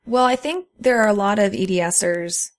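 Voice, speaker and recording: A woman's voice: medium pitch, normal speed. A woman co-hosting a podcast, talking casually and explaining things in an earnest way. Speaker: podcast co-host. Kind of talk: casual conversational podcast talk.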